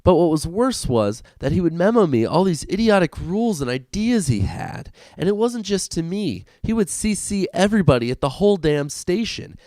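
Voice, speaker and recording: A man's voice: sits high, darkish tone, no echo. A man hosting a comedic show, reading aloud in an amateur, casual and irreverent way. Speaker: host of a comedic reading podcast.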